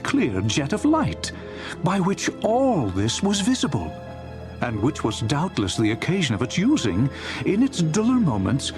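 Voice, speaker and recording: Expressive male storyteller over music. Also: fairly high pitch. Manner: theatrical, deliberate emphasis. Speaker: audiobook narrator. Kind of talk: dramatic scripted literary narration.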